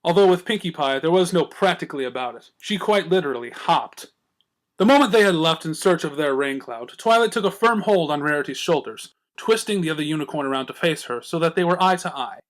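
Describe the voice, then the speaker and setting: A man reading fiction aloud as a storyteller, with expressive scripted narration. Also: fairly high pitch, brisk. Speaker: story reader.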